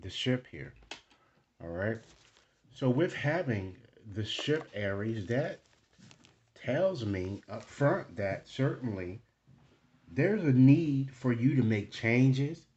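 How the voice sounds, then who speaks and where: A man hosting a card reading, speaking to viewers in deliberate, formal phrasing and often checking in with a short tag question. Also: medium pitch, lively intonation, darkish tone, highs cut off, a little hiss or hum. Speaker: tarot card reader and channel host.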